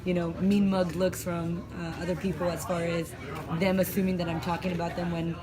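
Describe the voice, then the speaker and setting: A woman in her thirties, a teacher, answering interview questions in a thoughtful, measured way, filmed in a classroom office. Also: lowish voice, level tone, a crowd in the background. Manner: thoughtful, measured, mixes in fillers.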